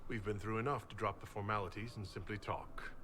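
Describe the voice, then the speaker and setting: An actor voicing a very large, composed warrior leader in a video game, speaking directly and in a businesslike way. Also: male voice, on the low side, steady tone, brisk, bright, forceful tone. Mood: friendly, wry. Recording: echoey game audio, faint noise.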